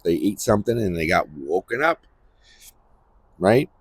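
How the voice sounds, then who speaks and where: A man hosting a Bible-reading series, giving informal commentary in a halting, repetitive way and often checking for agreement. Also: sits low, sing-song, slightly rough.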